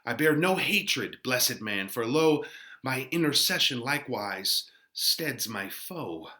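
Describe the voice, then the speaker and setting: A man's voice: medium pitch, lively intonation, slow pace, sharp tone. A man playing a lovestruck, eager young man, declaiming Shakespearean verse effusively. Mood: elated. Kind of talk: dramatic reading of Shakespearean verse.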